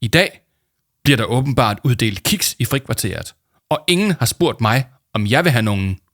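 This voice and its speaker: A man voicing a big, self-important adult man who is always hungry and shouts his announcements with great solemnity. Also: expressive. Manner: booming, dramatically solemn shouting.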